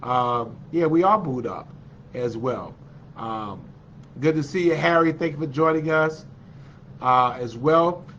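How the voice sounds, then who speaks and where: A man's voice: medium pitch, a little slow, fairly bright tone, slight background noise. Male livestream host, congested and nasal, chatty, plenty of fillers, dull lo-fi sound. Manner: chatty and encouraging, with many fillers. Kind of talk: casual livestream chat greeting viewers.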